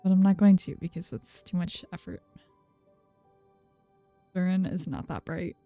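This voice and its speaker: A woman's voice: lowish voice, normal speed, mellow, no room sound. A woman streamer giving casual, giggly, muttered live commentary on a video game. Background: game music.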